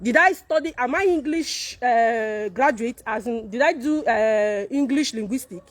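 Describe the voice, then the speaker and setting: A woman's voice: a little high, lively intonation, a little slow. A Nigerian woman whose first language is Igbo, talking casually and emphatically to her viewers, repeating points and asking rhetorical questions. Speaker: content creator.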